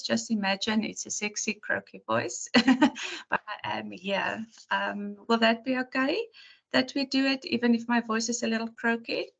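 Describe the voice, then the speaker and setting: A woman lecturer with a hoarse, croaky voice, talking to her class in a chatty, rambling and apologetic way on a video call. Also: medium pitch, fairly bright tone. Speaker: university lecturer.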